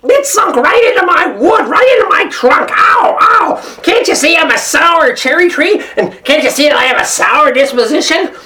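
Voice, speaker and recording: A woman's voice: sits high, lively intonation, moderate pace, hardly any pauses, sharp tone, echoey room, pitched above the performer's own voice. A woman storyteller voicing a sour-tempered, self-important cherry tree in a blunt, indignant, scolding manner. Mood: pained, indignant. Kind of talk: live folktale storytelling.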